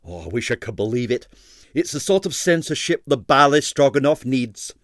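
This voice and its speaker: A man's voice: mid-range voice, big pitch swings, moderate pace, fairly bright tone, no room sound, clean studio sound, voice pushed up. A man voicing a sour, disdainful doctor who loathes bad ballet, emphatic and blandly scathing, for an audiobook. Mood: confiding. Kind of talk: audiobook character voice.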